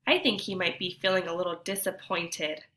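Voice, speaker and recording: Lady teacher doing a storytime read aloud, bright clear-cut delivery, asks the kids questions as she goes. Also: lowish voice. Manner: addresses children directly, deliberate and animated. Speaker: teacher reading a picture book aloud to children.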